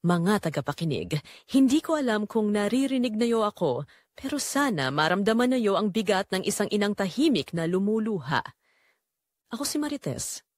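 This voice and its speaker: A woman's voice: mid-range voice, dry recording, clean studio sound. A woman playing a weary, neglected middle-aged Filipina mother, telling her sorrowful story in an audio drama with a wistful, emotive manner and a Filipino accent. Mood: sorrowful, confiding. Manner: wistful, emotive, addressing listeners directly. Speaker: narrator and main character of an audio drama. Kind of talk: first-person dramatic confessional storytelling.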